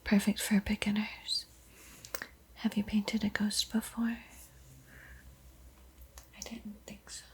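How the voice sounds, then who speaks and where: A woman giving an ASMR art tutorial in a breathy whisper, with a soft, unhurried, playfully eerie and teasing manner. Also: mid-range voice, completely flat delivery. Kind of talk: whispered ASMR painting tutorial with spooky roleplay.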